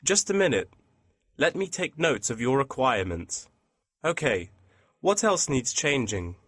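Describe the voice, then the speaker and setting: Man acting polite print shop employee, lively intonation. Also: medium pitch, measured pace, breathy edge, dry recording, full character voice. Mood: polite, attentive. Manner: courteous, customer-service formal. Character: polite, businesslike male printing company employee taking a customer's requests. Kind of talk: scripted exam listening dialogue.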